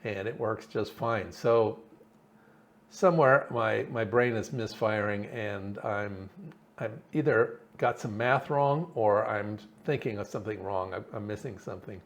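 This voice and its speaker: A man giving an informal technical explanation as a hobbyist presenter, thinking aloud in a halting way. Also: on the low side. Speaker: hobbyist presenter explaining his radio build. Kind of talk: informal technical explanation over slides and diagrams.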